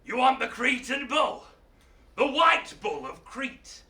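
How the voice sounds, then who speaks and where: A man's voice: very high-pitched, big pitch swings, takes it slowly, sharp tone, heavily acted. A man voicing a mad, boastful, muscular king who bellows, swears and taunts in a live storytelling performance. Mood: incredulous, boastful. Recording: roomy, distant mic, faint noise.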